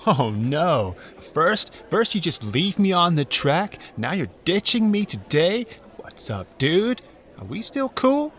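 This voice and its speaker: A man's voice: high voice, very animated, slow, fairly bright tone, a little hiss or hum, exaggerated voice. A man playing a needy, suspicious teenage boy in an audio drama, slangy and whiny. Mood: suspicious, hurt.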